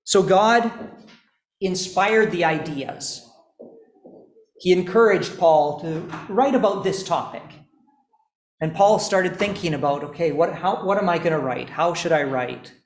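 A male teacher lectures slowly and deliberately, pausing between phrases. His voice sits a little high. The room around him is echoey. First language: not English, also speaks Estonian. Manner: slow, deliberate explaining with pauses. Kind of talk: classroom lecture.

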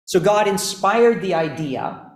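Male lecturer, unhurried and measured, slightly high voice, echoey classroom. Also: expressive. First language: not Estonian. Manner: measured, deliberate lecturing with pauses. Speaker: teacher.

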